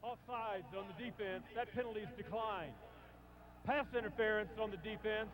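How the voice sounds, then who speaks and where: A man's voice: high-pitched, normal speed. A man announcing penalty rulings formally as a football referee.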